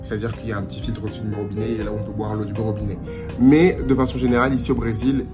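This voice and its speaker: A young man from France giving casual travel advice in a lively vlog, dropping Portuguese phrases as he talks. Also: on the low side, very fast. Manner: chatty and animated, slips into Portuguese phrases. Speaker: vlogger. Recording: music playing under the voice.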